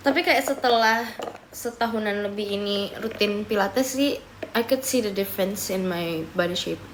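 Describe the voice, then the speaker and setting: A woman's voice: medium pitch, normal speed, noisy background. A young woman live streaming, chatting casually as she answers viewer comments, often slipping into English mid-sentence while eating and sipping a drink.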